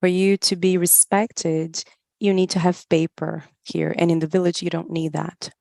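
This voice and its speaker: A woman giving a live spoken interpretation of a talk, in halting phrases with pauses mid-thought. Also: lowish voice, flat delivery, vocal fry, dark tone, no room sound, clean studio sound. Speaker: interpreter voicing another speaker's words.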